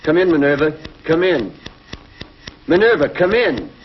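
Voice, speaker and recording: A man's voice: a little high, big pitch swings, a little slow. A man playing a level-headed, decisive space patrol pilot in 1950s television adventure style, clipped and commanding. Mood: urgent. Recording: dull 1950s TV film soundtrack.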